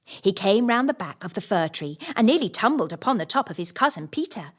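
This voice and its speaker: Animated woman reading children's stories. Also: mid-range voice, a little fast. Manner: expressive storytelling. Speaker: audiobook narrator. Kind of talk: scripted children's storybook narration.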